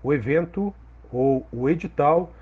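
A man with a Brazilian Portuguese accent, presenting a farm radio program in a steady, measured scripted read. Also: a little high, breathy edge. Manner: steady, measured reading of announcements. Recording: dull lo-fi radio sound with faint music.

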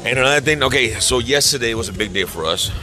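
A man's voice: medium pitch, animated, quick pace, sharp tone, faint music behind, poor audio. A man co-hosting a casual podcast, bantering loosely and profanely while nursing a hangover. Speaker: podcast co-host. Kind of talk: casual chat.

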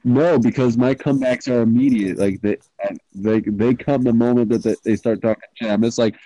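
A man's voice: medium pitch, fairly even intonation, moderate pace, warm tone, no room sound, low-quality recording. A teenage boy with an Irish accent hosting a casual podcast chat on a home mic, swearing and teasing bluntly. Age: teens. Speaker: podcast host. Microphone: home microphone.

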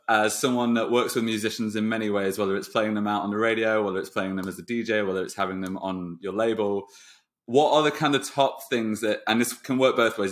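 Man, fairly low pitch, podcast host, casual chat, effusive, playful, informal. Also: a bit bright.

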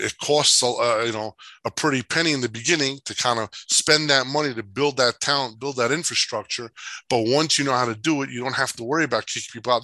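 Bright-voiced rambling male podcast host. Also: medium pitch, no echo. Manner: rambling, many fillers and restarts; uses long analogies. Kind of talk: casual interview chat.

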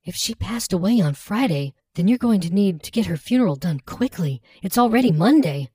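A man's voice: high-pitched, animated, a little fast, a little mellow, husky, no echo, voice pushed up. A man voicing a concerned, practical young woman who speaks politely and earnestly in an audiobook. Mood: helpful, earnest.

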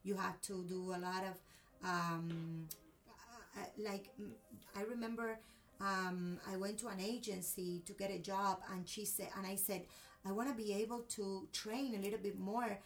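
A woman's voice: mid-range voice, fairly even intonation, unhurried, recorded from a distance. A woman from Cuba with a Cuban accent and Spanish as her first language, telling a personal story expressively in a conversational interview.

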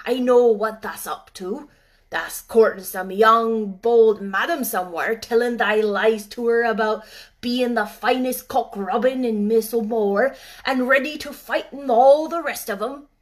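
A woman doing a gruff old Yorkshire gardener. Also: a little high, a little slow, natural voice. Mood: sarcastic. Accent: Yorkshire dialect. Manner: blunt, loud, drawling dialect speech. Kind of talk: storybook reading.

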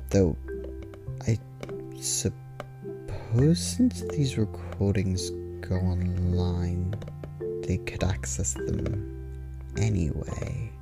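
Performer as anxious podcast host in ASMR roleplay: slow, hesitant, soft and secretive, heavy vocal fry. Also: deep voice, exaggerated voice. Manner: hushed, hesitant, halting, rambling. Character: nervous podcast host hiding from eavesdropping vampires. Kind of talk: quiet ASMR roleplay monologue.

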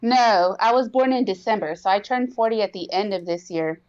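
A woman's voice: medium pitch, fairly quick, darkish tone. A woman in her late thirties co-hosting a podcast, ribbing the others and laughing often. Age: late 30s. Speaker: podcast co-host. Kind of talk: casual chat. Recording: dull lo-fi audio with faint hiss.

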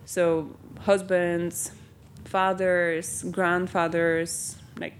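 A woman's voice: lowish voice, flat delivery, extremely slow, a little hiss or hum. A young woman from Bulgaria, a company founder, chatting in an interview with many ums and likes. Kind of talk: casual interview.

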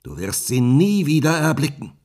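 A man voicing a wicked old witch in a fairy tale, shrill and scolding. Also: big pitch swings, moderate pace, a little mellow. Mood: mocking.

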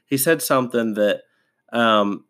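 A man's voice: mid-range voice, unhurried, fairly bright tone, clear, resonant tone. A man hosting a devotional podcast, stuffed up from a sinus infection, talking casually with many fillers and restarts. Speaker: podcast host.

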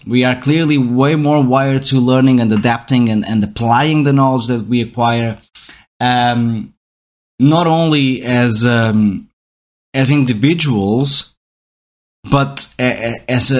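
A man from Portugal, a native Portuguese speaker, talking in English about learning and teaching, thinking aloud with frequent restarts and rhetorical questions. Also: medium pitch. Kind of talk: reflective talk on learning.

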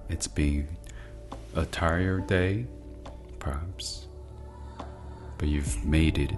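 A man leading a guided sleep meditation in a soft, soothing, hushed ASMR style, with long pauses and near-whispered phrases. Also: a bass voice, steady tone, music in the background.

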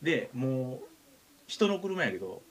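Animated Kansai man telling casual stories. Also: medium pitch, measured pace. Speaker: radio show talker, writer. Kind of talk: casual chat and anecdote telling.

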